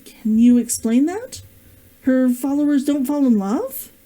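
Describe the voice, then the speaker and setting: A woman reads the part of a young fantasy romance heroine, bold and yearning. The voice is fairly high and mellow, forthright and questioning, in a storybook manner. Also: expressive, measured pace.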